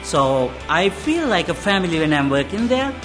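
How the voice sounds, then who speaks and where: A man's voice: high-pitched, big pitch swings, moderate pace. A man from Sri Lanka with a Sri Lankan accent, a hotel employee speaking cheerfully in a testimonial. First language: Sinhala. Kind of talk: interview testimonial. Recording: music bed underneath.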